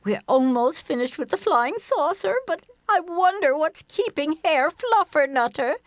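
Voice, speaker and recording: An older actress playing a feisty, eccentric spinster with big dreams in a light comedy audio play, expressive and eager. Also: female voice, high voice, unhurried, no echo. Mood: cheerful.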